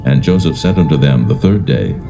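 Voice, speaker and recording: A man narrating dramatised scripture in a solemn, measured, formal manner. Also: rumbling low, expressive, vocal fry. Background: faint music.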